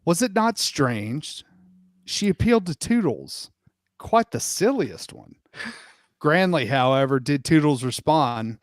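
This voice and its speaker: Male comic narrator, theatrical and sweary, slow deliberate storytelling, close dry sound. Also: fairly high pitch, very animated, no echo. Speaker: host and narrator. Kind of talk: comic dramatic storybook reading with asides.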